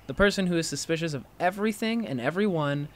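An actor playing an inquisitive, teasing college student in a fiction podcast, with a prodding, excitable manner. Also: male voice, on the high side, quick pace, lightly acted. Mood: incredulous. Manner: prodding, animated, incredulous.

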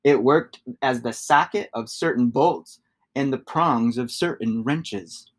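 Male storybook reader, thirties, middle register, expressive read-aloud for young listeners. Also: mid-range voice, takes it slowly.

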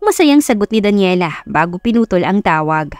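A woman's voice: mid-range voice, big pitch swings, normal speed, no echo, soft background music. A woman with a Filipino accent reading a scripted romance story as an audiobook narrator.

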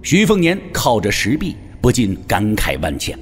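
A man's voice: mid-range voice, wildly swooping pitch, moderate pace, soft background music. A man giving a dramatic scripted narration of a martial arts story.

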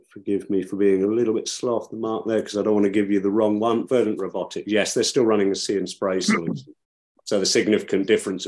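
A man's voice: deep voice, darkish tone. A middle-aged man answering questions on a video call, thinking aloud and correcting himself as he goes. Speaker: startup founder. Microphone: webcam on video call.

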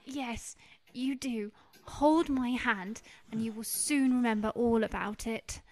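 A woman's voice: on the high side, slow pace. A woman playing a polite, solicitous society lady in a radio play. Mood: soothing.

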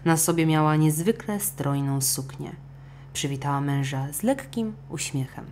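A woman's voice: low-pitched, lively intonation, normal speed, a little mellow, slight background noise. A woman narrating a scripted children's fairy tale audiobook expressively. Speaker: storyteller.

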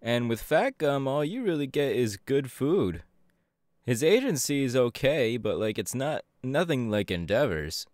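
A man's voice: medium pitch, unhurried, no echo, studio quality. A man playing an upbeat, easygoing young electric superhero in a casual, chatty audio roleplay. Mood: friendly, chatty. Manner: casual, animated, hesitant with fillers. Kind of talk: audio roleplay, chatty anime character acting.

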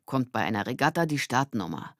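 A narrator plays an amiable, sporty teenage boy in a storybook. The pitch stays in the middle. It is recorded dry and close. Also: very animated, a little fast, no room sound. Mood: explaining.

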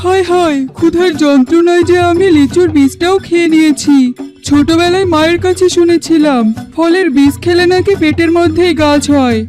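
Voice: very high voice, steady tone, moderate pace, darkish tone, soft background music. An actor voicing a lazy, henpecked husband fox in a children's cartoon, whiny and dramatic, often muttering to himself. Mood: panicked. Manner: whining, exclaiming, muttering to himself. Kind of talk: children's fairy-tale cartoon voice acting.

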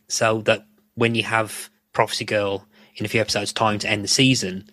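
A man's voice: on the low side, fairly bright tone, no room sound. A man giving thoughtful, circling analysis as a guest in a casual podcast discussion.

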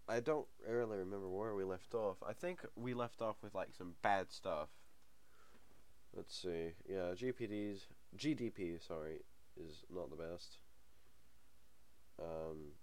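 A young man with a cold talks over a game in a low, deep voice, sniffling as he goes. He rambles, hesitates with plenty of ums, and chuckles to himself now and then. It sounds like an easygoing home let's-play. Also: a little mellow. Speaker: gaming channel host. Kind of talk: casual let's-play commentary.

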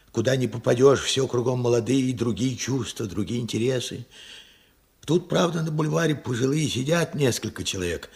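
An actor playing a weary, forgetful old man of seventy-eight in a radio play, halting, wistful and husky. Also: medium pitch, heavily acted. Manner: halting, musing, swinging from murmurs to outbursts. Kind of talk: Soviet radio play acting.

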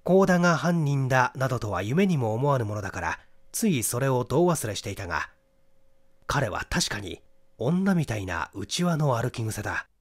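Man, audiobook narrator, medium pitch, mystery novel, dramatic storytelling. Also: sing-song, normal speed. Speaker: audiobook reader.

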